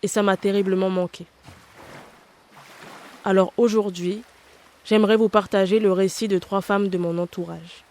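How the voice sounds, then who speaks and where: A woman in her twenties reading a scripted personal narration in French as a podcast host, measured and reflective, in short phrases. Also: medium pitch, steady tone, darkish tone. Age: 20s.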